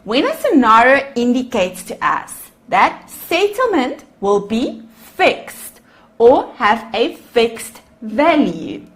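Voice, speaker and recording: A woman lecturer with a South African accent, a native Afrikaans speaker, explaining accounting over slides and calling her students "guys". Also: fairly high pitch. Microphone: voice-over on screen recording.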